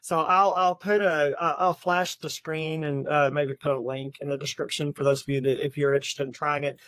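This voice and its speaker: A man co-hosting a podcast in casual book chat, speaking haltingly and often repeating words as he goes. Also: fairly high pitch, level tone, fairly bright tone, no room sound. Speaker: podcast co-host.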